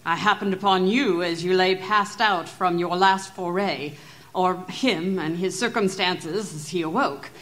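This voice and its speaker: A woman's voice: fairly low pitch, fairly bright tone. A woman playing an earnest, wary witness to horrors, speaking in formal, carefully worded language in a Gothic audio drama. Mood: wary, probing. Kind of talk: dramatic Gothic audio story narration and dialogue.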